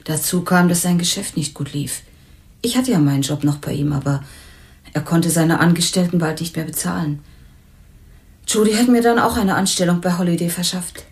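A woman's voice: fairly low pitch, moderate pace, darkish tone, close to an everyday voice. An actress playing a candid, unsentimental young working woman in a crime radio drama, talking bluntly and colloquially. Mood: reflective. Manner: blunt, colloquial, matter-of-fact. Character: candid, unsentimental young former waitress, murder victim's flatmate.

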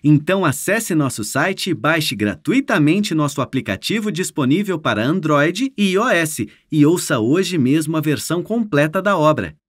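A Brazilian man delivers a scripted promo as an announcer. His fairly high voice swings in a lively way and has a husky edge. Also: moderate pace, few pauses, no room sound, clean studio sound. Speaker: announcer for an audiobook publisher.

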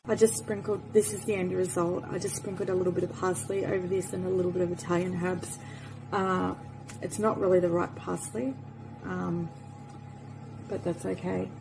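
A woman's voice: fairly low pitch, little pitch movement, normal speed, vocal fry, background noise. A woman casually talking through her cooking in a home kitchen, chatty with trailing fillers, filmed on a handheld phone. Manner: chatty, trailing fillers, sometimes soft and near-whispered. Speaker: cooking vlogger. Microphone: handheld phone camera.

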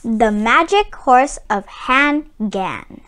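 Lady reading a kids book out loud, really slow, super animated up and down voice. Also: a little high, clear, resonant tone. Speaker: children's book read-aloud channel host. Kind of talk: read-aloud picture book narration for children.

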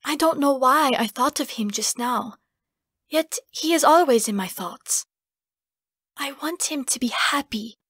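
Fantasy sister female narrator: young, tender, pleading, high voice, dry audiobook sound. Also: lively intonation, a little slow, a bit bright, breathy edge, no room sound, exaggerated voice. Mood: wistful, fond. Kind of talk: audiobook character acting.

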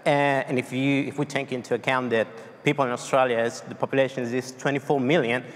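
A man's voice: mid-range voice, fairly even intonation, brisk. A man from Argentina in his thirties with a Spanish accent, giving a tech conference talk with many fillers and restarts. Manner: loose, hesitant delivery with many fillers and restarts. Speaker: conference speaker. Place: large dark conference hall on stage. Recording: long hall reverb.

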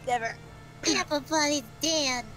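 A woman voicing a morbid, traumatized village child with deadpan drama for a game dub. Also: sits high, moderate pace, heavy background noise, lightly acted. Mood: morbid, haunted. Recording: loud game music behind the voice.

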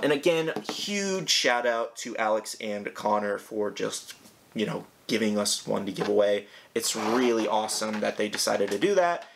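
A young man with a hoarse, raspy voice from a sore throat, chatting casually as he unboxes a collectible toy in his home room. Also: on the low side, a bit bright, slight background noise. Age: young adult. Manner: chatty, rambling, eager.

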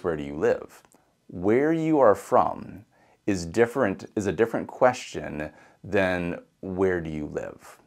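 A man's voice: deep voice, dry recording, studio quality. A man in his forties with a Midwestern American accent, a pastor giving an online sermon to camera in a conversational way. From Nebraska. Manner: conversational, chuckles, repeats words when restarting. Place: outdoors beside a brick building. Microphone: camera at close range.

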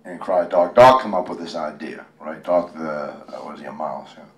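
A man's voice: a bass voice, normal speed, a bit bright. An American man reading a picture book aloud at a casual storytime, joking and chatting with folksy asides. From United States, Western Pennsylvania. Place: library room, live event. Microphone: handheld microphone held by a helper. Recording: roomy, distant from the microphone.

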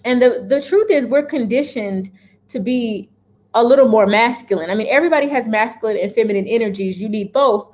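A woman's voice: a little high, fairly quick, a little mellow. A woman in her thirties giving her views to camera from her home kitchen, direct and emphatic, often checking in with "okay?". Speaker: lifestyle vlogger. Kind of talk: casual opinion chat to camera.

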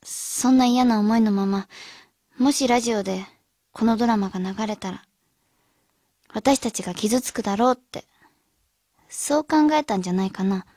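An actress playing a thoughtful, caring high school girl who gently reasons with friends in a radio drama. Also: normal speed, pauses often, no echo, clean studio sound, natural voice. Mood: gentle, reflective.

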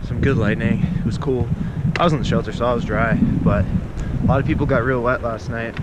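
A man's voice: mid-range voice, steady tone, brisk, muffled recording. Young man vlogging outdoors in noisy wind. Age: 20s. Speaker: vlogger hiking a long trail. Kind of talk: casual hiking vlog. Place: outdoors on a wooded mountain trail. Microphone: action camera held at arm's length. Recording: windy, noisy outdoor action-camera audio. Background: wind noise.

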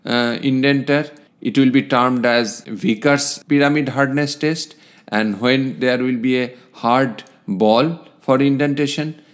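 A man narrating a scripted technical lesson in a didactic, explanatory way, as an instructor reading out his points. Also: medium pitch. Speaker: instructor in welding inspection. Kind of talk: scripted lecture narration over slides.

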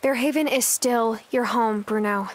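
A woman's voice: fairly high pitch, unhurried, close to an everyday voice. A woman playing a friendly, kind young newcomer to an island in a video game. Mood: reassuring. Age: young adult.

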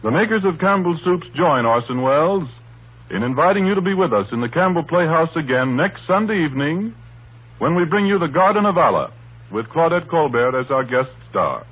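A man's voice: fairly high pitch, big pitch swings, normal speed, slightly rough. A man reading scripted announcements in formal, polished 1930s radio style. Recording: tinny, band-limited 1930s radio broadcast. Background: faint music.

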